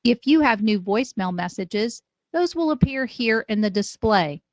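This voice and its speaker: A woman narrating instructional training, explaining clearly step by step. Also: on the high side, lively intonation, moderate pace, no room sound, muffled recording. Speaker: software trainer.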